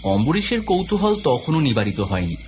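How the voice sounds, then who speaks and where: A man narrating a scripted audio story in an expressive, suspenseful, dramatic storytelling style. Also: medium pitch, normal speed, noisy background. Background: clear background music.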